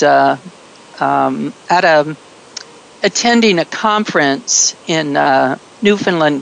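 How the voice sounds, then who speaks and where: A middle-aged woman talks at a slow, unhurried pace, her voice sitting a little low. She reflects aloud in conversation, pausing often and reaching for her words. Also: creaky, a bit bright, rough recording. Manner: slow, hesitant, with many fillers.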